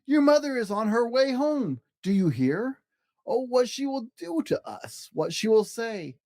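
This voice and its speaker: Man voicing a high, fretful scolding fish. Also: big pitch swings, a little slow, a little mellow, full character voice. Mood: panicked. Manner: urgent, fretful exclamations. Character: anxious scolding pet fish, alarmed and bossy. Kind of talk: children's storybook character voice.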